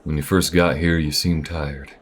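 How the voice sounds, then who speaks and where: A man voicing an easygoing, wry alien bounty hunter with folksy, unhurried phrasing in an audio roleplay. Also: very deep voice, flat delivery. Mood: warm, steady. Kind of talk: audio roleplay addressed to the listener. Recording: restaurant chatter behind. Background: restaurant crowd ambience.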